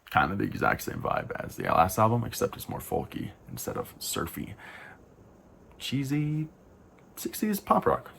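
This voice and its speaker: Deep gravelly man rambling through album reviews. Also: a bit bright, slight background noise. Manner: rambling, frank, self-correcting with sighs and asides. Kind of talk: casual spoken album rundown.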